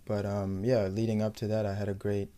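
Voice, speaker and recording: A young man from the United States speaks as an athlete in a casual interview. His voice sits fairly low and stays quite flat in pitch. He answers in a measured, matter-of-fact way, with a soft, mellow tone. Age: young adult. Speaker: professional footballer being interviewed.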